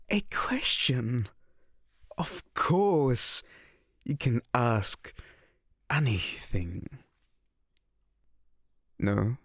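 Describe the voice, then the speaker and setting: A man playing a slow gravelly incubus. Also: mid-range voice, no room sound, studio quality, exaggerated voice. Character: seductive teasing male incubus, playful predator. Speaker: ASMR roleplay voice actor. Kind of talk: ASMR roleplay.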